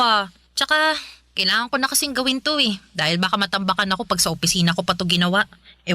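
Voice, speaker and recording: A woman's voice: mid-range voice, bright, forceful tone, no room sound, close to an everyday voice. A woman playing an ambitious young live-in girlfriend, sassy and colloquial, in a naturalistic radio drama. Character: ambitious, career-driven young live-in girlfriend, teasing and defensive. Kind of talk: Filipino radio drama acting, naturalistic dialogue.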